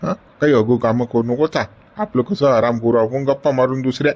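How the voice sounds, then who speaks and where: An elderly friend, played by a man speaks with a Varhadi accent, alternately coaxing and chiding. His voice sits at a middling pitch, with a rustic drawl. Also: fairly quick, a little mellow, dull audio, lightly acted. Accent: Varhadi Marathi. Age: older. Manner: coaxing, chiding with repeated "गड्या". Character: old male friend, coaxing and scolding.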